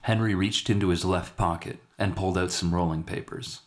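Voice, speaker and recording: Deep voiced guy narrating a dark dramatic short story, scripted read. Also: steady tone, a little fast. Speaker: author narrating his own story.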